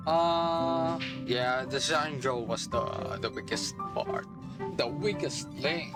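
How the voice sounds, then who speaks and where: A streamer giving casual reaction commentary on a game story, musing and repeating phrases, trailing off between thoughts. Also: mid-range voice. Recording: loud game music behind. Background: video game music and dialogue.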